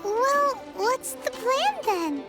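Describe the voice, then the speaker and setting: A woman voicing a tiny, excitable fairy companion in a video game, squeaky, childlike and exaggerated. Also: very slow, exaggerated voice. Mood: curious. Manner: chirpy, exaggerated, refers to self by name. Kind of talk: video game character acting. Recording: loud music behind the voice. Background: music.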